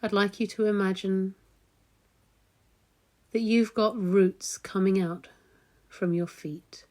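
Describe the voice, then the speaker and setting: A woman leading a guided meditation in a soothing, gentle, unhurried manner with long pauses. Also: mid-range voice, steady tone.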